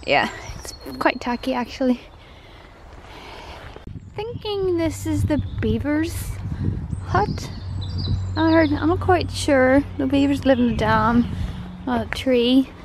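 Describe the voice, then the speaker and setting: A woman's voice: high voice, slow pace, background noise. A woman vlogger talking casually and enthusiastically while walking outdoors through a woodland park, recorded on a handheld camera. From Northern Ireland. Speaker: travel vlogger.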